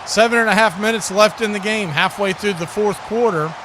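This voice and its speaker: A man's voice: sits high, a little fast, over a noisy crowd. A man giving live play-by-play commentary on a football game as the commentator. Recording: clearly noisy live stream audio.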